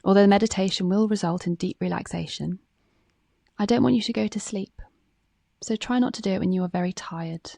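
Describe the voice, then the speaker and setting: Female meditation guide, mellow and relaxed with gravelly fry, calm scripted read, close dry sound. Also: medium pitch, a little fast, dry recording.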